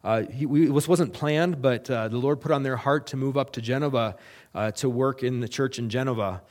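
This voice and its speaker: A middle-aged man speaks at a middle pitch as a missionary reporting back to a church. He talks earnestly and haltingly, often pausing on fillers and restarting phrases. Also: darkish tone. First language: English.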